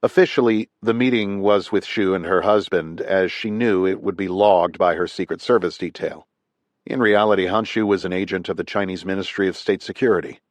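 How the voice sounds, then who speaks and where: A man narrating a thriller audiobook in a steady, even reading. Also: low voice, fairly quick, no echo.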